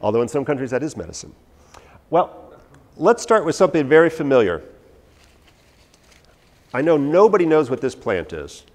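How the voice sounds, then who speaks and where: Brief: forties male herbalist, chatty self-deprecating lecturer, mid-pitched, echoing hall from afar. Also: moderate pace, long pauses. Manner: animated, anecdotal, self-deprecating, gesturing while talking. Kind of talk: informal talk to a live audience. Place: large hall with a stage, indoors. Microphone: no visible mic, far camera. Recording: hall reverb from far off.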